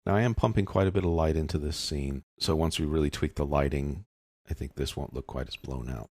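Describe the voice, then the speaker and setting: A man narrating a software tutorial as an instructor, explaining things casually and step by step. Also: very deep voice, fairly quick, dry recording, clean studio sound. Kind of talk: tutorial narration over screen demonstration.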